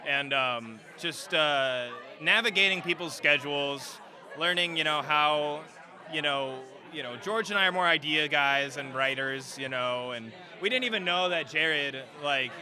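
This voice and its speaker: Male, slightly high voice, casual rambling interviewee, enthusiastic, busy crowd chatter behind him. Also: normal speed. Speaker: radio drama writer and director being interviewed.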